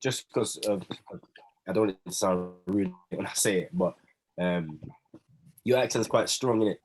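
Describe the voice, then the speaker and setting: A man's voice: deep voice, normal speed, darkish tone, husky, low-quality recording. A young man asking questions on a podcast in a hesitant, casual way with many fillers. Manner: hesitant, lots of ums and likes. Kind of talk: casual chat.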